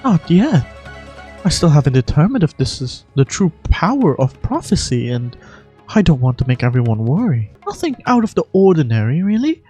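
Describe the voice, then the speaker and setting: A woman plays an earnest young desert guardian from a fantasy game. Her speech is measured, courteous and formal, with expressive pitch, over faint music. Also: slightly rough, full character voice. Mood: thoughtful. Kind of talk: amateur video game voice acting.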